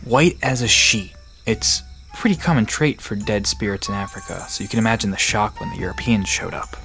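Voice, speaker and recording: Man acting as easygoing low-voiced folklore guide, music behind. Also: fairly quick, creaky. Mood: easygoing, explanatory. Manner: easygoing, explanatory, reassuring. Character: friendly young man, knowledgeable guide to folklore spirits. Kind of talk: conversational audio drama acting.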